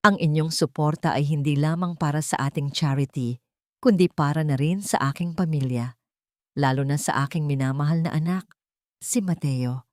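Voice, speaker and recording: Two-faced charity hostess, played by a woman narrator: gracious, syrupy manner over a low, dry voice, audio drama. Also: moderate pace, darkish tone, dry recording, close to an everyday voice. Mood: sweetly grateful. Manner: sweet, grateful, performatively tender.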